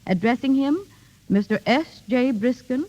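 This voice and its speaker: A middle-aged woman reading a formal, measured 1930s radio address as a guest speaker. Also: high-pitched, dark tone. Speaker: guest speaker, theater director. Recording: muffled 1930s radio broadcast.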